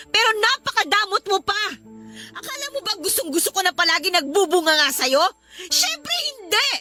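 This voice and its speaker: A woman's voice: very high-pitched, pushed and bright, full character voice. A woman playing an exasperated young mother and live-in partner in an emotional Filipino radio drama. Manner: emotional, scolding.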